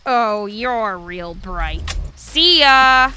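A woman's voice: mid-range voice, expressive, very slow, bright, forceful tone, slight background noise, exaggerated voice. A woman playing a ruthless, commanding gun-wielding villainess in an audio drama, curt and mockingly polite. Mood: sarcastic. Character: ruthless, commanding villainess holding people at gunpoint.

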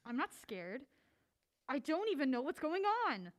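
An anxious, mild-mannered woman in an audio drama, very high in pitch with big swings of intonation. She sounds wary and skeptical, quick to get exasperated. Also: very animated. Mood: uneasy, irritated. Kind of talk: naturalistic audio drama acting.

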